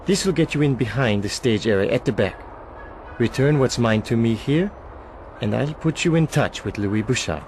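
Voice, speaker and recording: An actor plays a shady male barman informant in a video game. His voice is creaky and swings widely in pitch, guarded and edgy in manner. Noise runs behind him. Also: expressive, moderate pace, a little mellow, natural voice, low-quality recording. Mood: wary, bargaining. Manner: guarded, hesitant, shifty. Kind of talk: video game cutscene acting.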